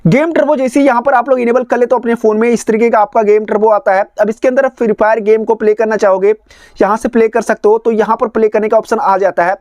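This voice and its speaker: A man's voice: very high voice, a little mellow, dry recording. A man narrating a step-by-step phone tutorial in a repetitive, instructional way. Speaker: tech tutorial host.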